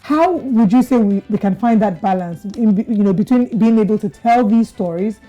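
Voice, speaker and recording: A woman's voice: medium pitch, moderate pace, mellow, soft background music, low-quality recording. A woman hosting a broadcast interview. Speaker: TV show host.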